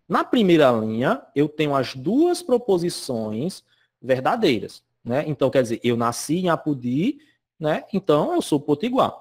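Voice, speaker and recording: This is a man, teaching a recorded lesson from slides. His voice sits a little high for a man and swings up and down a lot. He keeps checking in with his students as he goes. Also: very animated, normal speed, breathy edge. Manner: chatty, constantly checks in with "né?" and "certo?".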